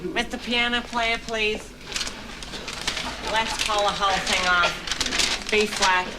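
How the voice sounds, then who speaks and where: Performer as a slow, eager auditioning lady. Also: medium pitch, steady tone, heavy background noise, lightly acted. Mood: eager, oblivious. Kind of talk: comedy sketch. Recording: echoey TV sketch with loud music, crowd.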